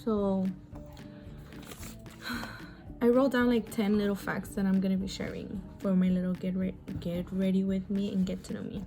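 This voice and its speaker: A woman's voice: medium pitch, little pitch movement, normal speed, long pauses, warm tone, faint music behind. A woman in her twenties, born in Mexico and raised in the US, chatting frankly and casually while doing her makeup, swearing freely and sighing often. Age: 20s. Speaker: beauty vlogger. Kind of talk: casual get-ready-with-me chat.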